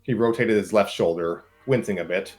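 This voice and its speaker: Low-voiced man reading a story aloud. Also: normal speed, a little mellow. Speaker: narrator of a group story reading. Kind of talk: comic read-aloud narration with casual asides.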